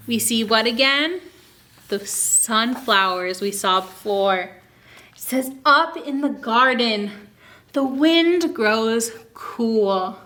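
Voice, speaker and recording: A woman hosting a children's story time, reading a picture book aloud expressively and pausing to ask young listeners questions and explain. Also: on the high side. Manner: asks listeners questions, expressive teaching delivery.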